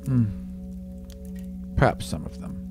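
A man voicing a haughty, self-serving young demigod student in a tabletop game, speaking in terse, measured, aloof phrases. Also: close to an everyday voice. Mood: cool, guarded. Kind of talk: tabletop roleplay in character. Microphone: close podcast microphone on stand. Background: instrumental music.

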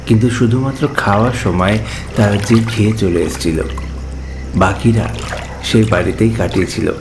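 A man narrating a scripted horror story as a storyteller, in measured, suspenseful short phrases. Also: lowish voice, background noise.

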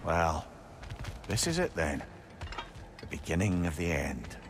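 An actor with a Scottish accent playing a wry, chatty older sage in a video game, wordy and theatrical. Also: male voice, low voice, sing-song, measured pace, heavy background noise, full character voice. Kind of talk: video game drama acting. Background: loud music.

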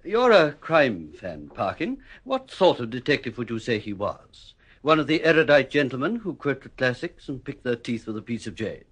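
A sinister, wealthy gentleman in a vintage radio play, with a soft, snaky voice like oiled silk. His middle-pitched speech is polished, polite and quietly condescending. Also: very animated, moderate pace, husky. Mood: silky. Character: smooth, sinister gentleman racehorse owner. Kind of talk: 1950s radio drama acting.

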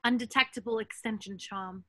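A clever, practical teenage witch with a bossy streak, played by a young woman. Her voice sits fairly high and sounds bright and crisp in tone. It is an amateur screenplay reading. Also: fairly even intonation, normal speed, no echo. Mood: brisk. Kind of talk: amateur screenplay table read. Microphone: video call.

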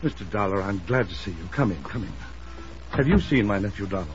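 An actor playing a proud, imperious older wealthy uncle, indignant and blustering, in an old-time radio drama. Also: male voice, fairly low pitch, expressive, fairly quick, soft background music, lightly acted. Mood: worried. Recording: muffled, noisy old radio recording.

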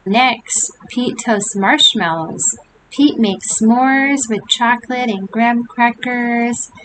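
An older woman reading a picture book aloud to young children, with gentle chatty asides. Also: on the high side, slow pace. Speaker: storybook reader. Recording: home recording with steady hiss.

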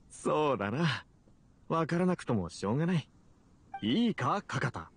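An obsessive young male scientist from an anime game. His voice is slightly high and a little husky, swinging animatedly as he speaks with grandiose ambition. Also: sing-song, a little slow, heavily acted. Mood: fond, musing. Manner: theatrical, grandiose.

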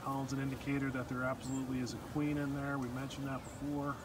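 A man with a middle-pitched voice talks calmly and matter-of-factly as he walks past his beehives, describing what he sees. It's a handheld phone recording made outdoors, with clear steady background noise. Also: fast talker. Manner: calm, matter-of-fact commentary. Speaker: beekeeper. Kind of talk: casual narration while filming. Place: outdoors among beehives in snowy yard. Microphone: handheld phone camera.

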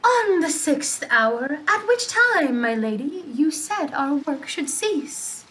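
A woman plays an airy spirit servant in a Shakespearean radio play. The voice is high and unhurried, deferential and gentle toward its mistress. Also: very animated, heavily acted, low-quality recording. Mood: dutiful, tender. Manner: measured, deferential.

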